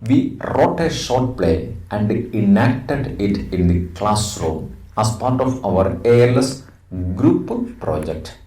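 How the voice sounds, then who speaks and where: A man with an Indian accent gives a lesson at a very slow, deliberate pace, phrase by phrase. His voice is fairly low and a little mellow. It is recorded away from the microphone in an echoey room. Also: lively intonation. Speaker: English teacher. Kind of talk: instructional lesson, reading slides aloud. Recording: roomy echo, distant microphone.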